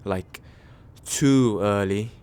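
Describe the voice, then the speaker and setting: A man playing a nervous, kind guy on a second date, speaking haltingly and reassuringly in an ASMR comfort roleplay. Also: fairly low pitch, clear, resonant tone. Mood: shy, pleased. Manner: hesitant, soft-hearted, self-deprecating, halting with small pauses. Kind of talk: ASMR comfort roleplay, acted as casual chat.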